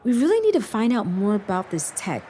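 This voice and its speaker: A woman playing a loyal, excitable teenage high school girl in an audio drama. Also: fairly quick, slight background noise. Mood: calm, reassuring.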